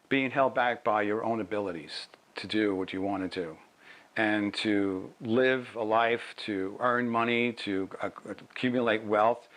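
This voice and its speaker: A man giving personal testimony for a documentary in measured, deliberate phrases. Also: lowish voice, a bit bright.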